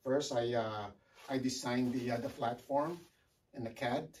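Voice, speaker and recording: A middle-aged man giving a casual walkthrough of his home-built project, halting with many fillers and restarts. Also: mid-range voice, fairly even intonation, a little mellow, a little hiss or hum. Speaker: DIY builder. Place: home room with sim cockpit, indoors.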